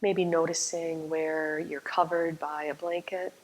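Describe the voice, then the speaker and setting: A woman leading a guided meditation as a yoga nidra teacher, speaking calmly and soothingly with long, unhurried pauses. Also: low voice, rough recording.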